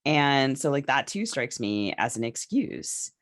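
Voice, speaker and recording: A woman co-hosting a podcast discussion, speaking casually and haltingly as she thinks her points through aloud. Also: a bass voice, big pitch swings. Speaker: podcast co-host.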